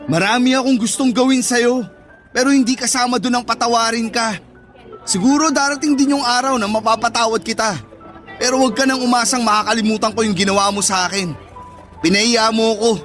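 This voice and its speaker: A very high-voiced young Filipino man in a radio drama, wounded by betrayal. He is scornful and confrontational, and soft music plays under his words. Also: steady tone, fairly quick. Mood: cold, hurt. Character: betrayed, angry young man rebuffing his male friend. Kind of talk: Tagalog radio drama acting.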